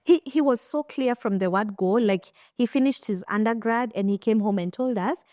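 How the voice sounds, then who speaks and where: Kenyan woman, lively stop-start storytelling. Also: on the high side, normal speed, dry recording. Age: young adult. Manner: animated storytelling with frequent restarts. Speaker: podcast host and finance coach. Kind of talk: casual interview chat.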